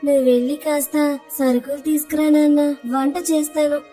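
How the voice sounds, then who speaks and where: A woman's voice: high voice, level tone, brisk, mellow, faint music behind, lightly acted. A woman playing a caring, coaxing middle-aged mother in a cartoon story. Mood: weary, caring. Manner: coaxing, affectionate.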